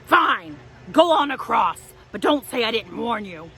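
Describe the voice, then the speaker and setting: A woman's voice: a little high, very animated, measured pace, pushed and bright, full character voice. A woman voicing a grumpy, hungry bridge troll in a children's story, with blustering, demanding speech. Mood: grudging.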